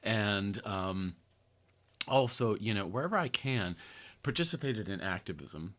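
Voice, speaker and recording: An older American man hosting a podcast, reading his own article aloud in an earnest, deliberate way with conversational asides. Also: sits low, a bit bright. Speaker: podcast host, therapist and activist writer.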